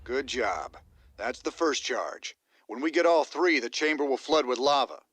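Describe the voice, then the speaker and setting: A man playing a stubborn, battle-hardened rebel commander in a video game, American, gruff and terse, giving blunt military orders. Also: medium pitch, animated, measured pace, a bit bright. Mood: urgent, commanding. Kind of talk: video game cutscene acting.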